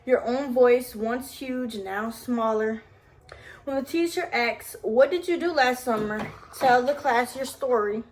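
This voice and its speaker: A woman with a high voice reads a children's picture book aloud as a mother would to her toddler. She mixes the written story with casual, homey asides. There is faint noise in the home recording. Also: a little slow, low-quality recording.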